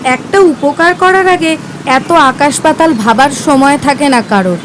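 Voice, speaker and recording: A woman's voice: sits high, measured pace, noisy background. A woman playing a kind-hearted, earnest schoolgirl in an audio story.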